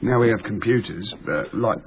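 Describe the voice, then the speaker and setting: A man's voice: lowish voice, lively intonation, moderate pace, natural voice. A man acting in a radio drama as an earnest, simple working man who explains things in a rambling, naive, matter-of-fact way. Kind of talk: naturalistic radio drama dialogue. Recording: tinny lo-fi radio broadcast, noisy.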